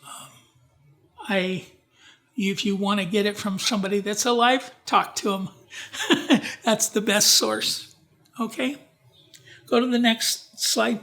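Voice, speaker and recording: A man's voice: high-pitched, fairly even intonation, pushed and bright. A man presenting a genealogy talk at a library, speaking informally with slides, often restarting his sentences and putting questions to the audience. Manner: halting, with frequent restarts and asides to the audience. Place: library event room.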